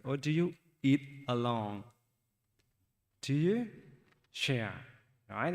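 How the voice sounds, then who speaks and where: A man with a Sri Lankan accent, a Buddhist monk giving a talk to young listeners, speaking haltingly and asking them questions. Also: mid-range voice, in a large hall. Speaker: Buddhist monk teaching youth. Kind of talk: Dhamma talk for children.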